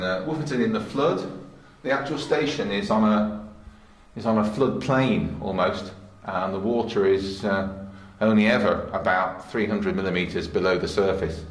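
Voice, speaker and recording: A middle-aged or older man giving an informal guided technical tour in a matter-of-fact, explanatory way. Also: on the low side. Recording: roomy echo with faint background noise.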